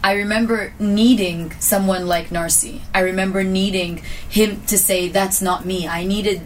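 A woman's voice: medium pitch, steady tone, a little slow, a little hiss or hum. A woman co-host sharing a personal story earnestly in a podcast chat, often restarting her sentences. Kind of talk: casual podcast conversation. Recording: voice picked up from a distance.

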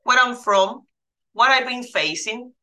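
Bright-voiced woman from the Dominican Republic. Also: a little high, measured pace. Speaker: guest, life coach. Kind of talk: interview answers.

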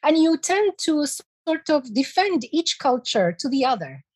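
A woman in her sixties from Iraq, giving slow, measured answers as an interview guest. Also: high voice, very animated. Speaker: guest, heritage expert.

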